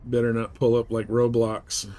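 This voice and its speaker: A man's voice: medium pitch, little pitch movement, normal speed, warm tone. A man chatting casually with viewers as he live streams a game. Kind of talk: casual chat over gameplay.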